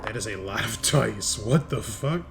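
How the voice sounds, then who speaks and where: A man's voice: fairly low pitch, normal speed, gravelly, fairly bright tone, a little hiss or hum. A man narrating a tabletop roleplaying game as game master, in a casual, sweary, explanatory way.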